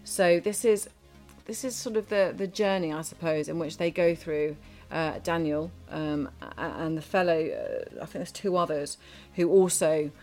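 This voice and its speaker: A woman's voice: sits low, moderate pace, darkish tone, soft background music. A woman co-hosting a TV and film review show, chatting casually with many fillers and restarts.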